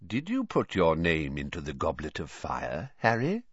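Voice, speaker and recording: A man doing a calm, elderly headmaster. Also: extremely low pitch, normal speed, husky, no room sound, highs cut off, voice pushed down, heavily acted. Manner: calm, polite, firm.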